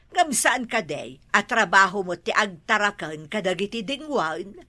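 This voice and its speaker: A woman's voice: medium pitch, sing-song, measured pace, pushed and bright, no echo, heavily acted. A woman playing a village mother who lectures her son with advice. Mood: advising.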